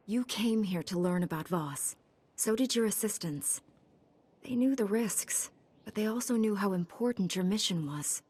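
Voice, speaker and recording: An actress playing a calm, direct young heroine knight in a video game cutscene. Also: female voice, medium pitch, normal speed, husky, close to an everyday voice. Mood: steady, concerned.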